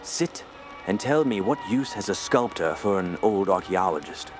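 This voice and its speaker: An actor playing a stern, troubled older male archaeology professor in an audio horror drama, gruff, suspicious and intense. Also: sits low, expressive, normal speed. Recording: loud music bed behind.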